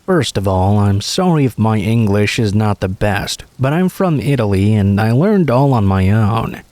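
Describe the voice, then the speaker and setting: A man reading scripted first-person stories as a narrator, in a measured storytelling way. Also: on the low side, animated, a little mellow, dry recording.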